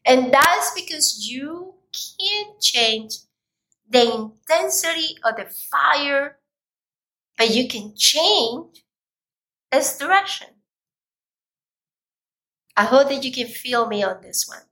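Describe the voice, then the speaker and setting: A woman with a slightly raspy voice giving an emphatic, deliberate motivational talk, as a coach speaking directly to viewers. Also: high-pitched, lively intonation, sharp tone, poor audio.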